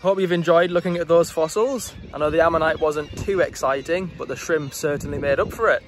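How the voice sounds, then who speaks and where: A man's voice: fairly high pitch, a little fast, a little hiss or hum. A young man from England, a fossil hunter, narrating a casual vlog on a rocky beach and explaining his finds with enthusiasm. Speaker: fossil hunter, channel host.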